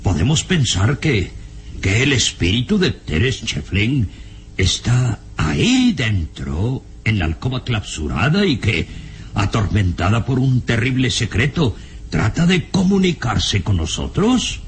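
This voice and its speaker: A man playing a frightened, excitable professor in a classic radio drama, halting and theatrical with dramatic pauses. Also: on the low side, slight background noise. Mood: fearful.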